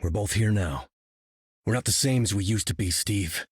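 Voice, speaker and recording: An actor playing a gruff, guarded war veteran in a game, with a raspy voice. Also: male voice, on the low side, normal speed, dry recording, clean studio sound.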